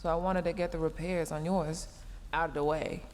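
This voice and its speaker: A woman's voice: sits low, steady tone, brisk, a little mellow, in a large hall, slight background noise, natural voice. A woman voicing a tsundere mech engineer in a sci-fi audio roleplay, sarcastic and exasperated, scolding while secretly caring. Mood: grumpy, brusque. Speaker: roleplay voice performer.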